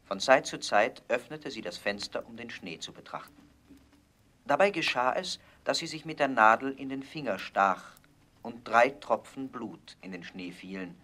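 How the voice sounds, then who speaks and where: Calm male fairy-tale narrator. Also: mid-range voice, moderate pace. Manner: calm, even storytelling.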